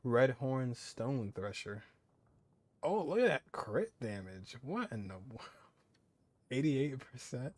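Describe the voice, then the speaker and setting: A man's voice: medium pitch, very animated, slow pace, pauses often, a little mellow, no room sound. A man giving casual playthrough commentary as a gaming streamer, with mild exclamations.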